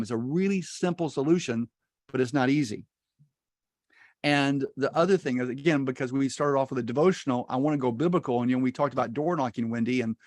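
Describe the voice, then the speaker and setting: A man's voice: mid-range voice, dry recording, studio quality. A middle-aged man, a native Spanish speaker, teaching real estate investing in a folksy, upbeat way over a video call.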